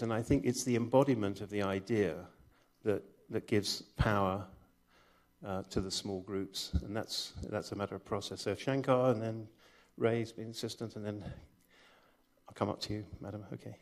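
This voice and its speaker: A man's voice: lowish voice, fairly even intonation, moderate pace. A man presenting and informally moderating a conference discussion.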